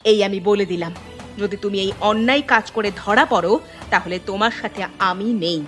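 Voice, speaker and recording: A woman's voice: mid-range voice, a little fast, fairly bright tone, soft background music, natural voice. A woman voicing an upright elderly village wife in a cartoon, nagging and reproachful. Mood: scolding. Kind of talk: cartoon voice acting.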